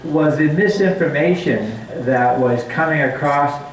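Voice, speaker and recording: A man's voice: a little high, measured pace, crowd noise. A middle-aged man from Canada, a family physician giving a chatty public talk with many restarts and fillers at a community forum. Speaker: guest speaker, family physician. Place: community hall meeting room. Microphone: handheld mic, far camera. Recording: echoey hall from far off.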